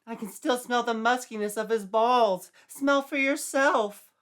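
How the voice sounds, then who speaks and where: Man putting on a high, indignant young woman. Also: a little slow, a bit bright, clear, resonant tone. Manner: shrill, exclamatory, protesting. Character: indignant distressed young woman, stranded out of time.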